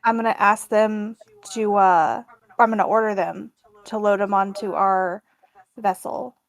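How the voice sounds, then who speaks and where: A woman's voice: mid-range voice, fairly even intonation, measured pace, dry recording. A woman speaking casually as a player in a tabletop roleplaying game, improvising her character's actions.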